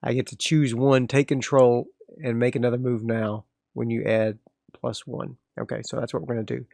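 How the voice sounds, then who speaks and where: A man's voice: mid-range voice, level tone, normal speed, gravelly, dark tone, no echo, studio quality. A man hosts a solo tabletop role-playing game, casually thinking aloud as he narrates moves and reads out rules.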